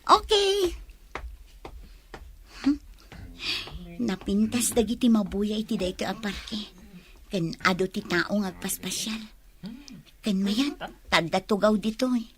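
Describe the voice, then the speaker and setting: A woman's voice: mid-range voice, very animated, takes it slowly, pauses often. An actress playing a shy, friendly and easily charmed young woman in a radio drama, polite and chatty. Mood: cheerful. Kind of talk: radio drama acting in Ilocano.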